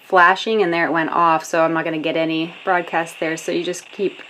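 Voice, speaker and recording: A woman's voice: low-pitched, level tone, brisk, fairly bright tone, rough recording. An American woman narrating a casual product demonstration as a reviewer, explaining step by step in an informal, hesitant way.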